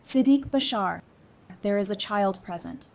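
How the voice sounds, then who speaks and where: An actress playing a warm, teasing, geeky woman in a post-apocalyptic audio drama, speaking casually and playfully. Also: unhurried, natural voice. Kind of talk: fan audio drama acting. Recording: noisy background with low rumble.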